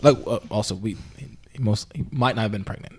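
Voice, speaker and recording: A man's voice: fairly low pitch, a little fast, husky, dry recording. An actor playing an earnest, rambling male podcast host who hesitates and placates his guests in a mock interview. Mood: placating. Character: earnest, apologetic male investigative podcast host, skeptical of spirits.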